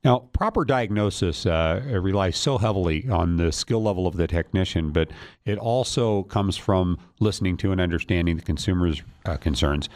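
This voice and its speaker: A man's voice: low-pitched, normal speed, darkish tone, no echo, studio quality. A middle-aged man interviewing guests conversationally as the host of a radio talk show.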